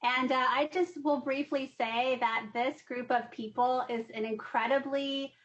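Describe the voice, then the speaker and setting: A woman's voice: high voice, fairly even intonation, unhurried, fairly bright tone. A woman commissioner offering a welcome at a video call meeting, speaking with many fillers. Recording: dull lo-fi video call audio.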